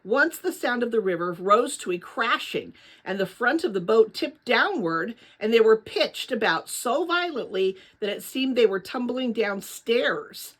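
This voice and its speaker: A woman reading a children's novel aloud as narrator, with dramatic, expressive storytelling. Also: on the high side, measured pace, few pauses.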